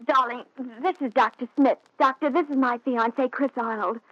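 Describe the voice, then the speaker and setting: A woman's voice: high voice, normal speed, dry recording. An actress playing an anxious, pleading young woman in her twenties, in 1940s radio thriller style. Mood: tender, urgent. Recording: muffled 1940s radio recording.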